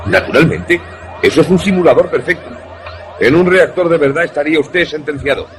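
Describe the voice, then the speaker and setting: Casting: stern air force colonel, acted by a man, fairly high male voice, formal and clipped, suspense radio play. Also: expressive, fairly quick, soft background music, lightly acted. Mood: stern, reprimanding. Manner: formal, clipped military commands. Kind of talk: suspense radio drama acting.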